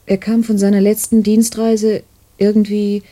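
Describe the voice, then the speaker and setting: An actress plays a worried, persistent girlfriend in a radio play. Her voice is mellow and of medium pitch. She is polite and composed, with a skeptical, questioning manner. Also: level tone, moderate pace, natural voice. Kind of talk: 1990s radio drama acting.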